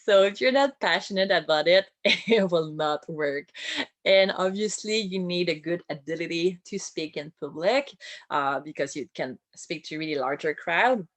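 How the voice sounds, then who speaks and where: A woman with a French accent, a boat captain giving an informal career talk. She speaks in a friendly way, with light laughs and many filler pauses. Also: fairly low pitch, animated, no room sound. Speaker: boat captain and whale-watching skipper.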